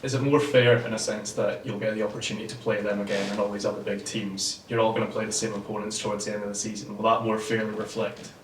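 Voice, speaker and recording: A man's voice: lowish voice, level tone, fast, hardly any pauses, distant mic, slight background noise. A man working as a sports journalist asks long questions at a press conference. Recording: roomy press room, off-mic.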